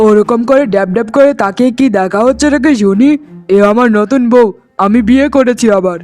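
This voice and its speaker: A man's voice: very high-pitched, a little fast, darkish tone, soft background music. A man voicing a cruel, hot-headed husband in a cartoon melodrama, with an emphatic, scolding and boastful manner. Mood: angry, contemptuous. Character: cruel, hot-headed husband, doting on his new bride.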